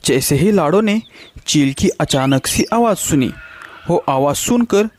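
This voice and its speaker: A man's voice: on the high side, lively intonation, a little slow, a little mellow, a little hiss or hum. A man narrating a children's bird story in a scripted storytelling style.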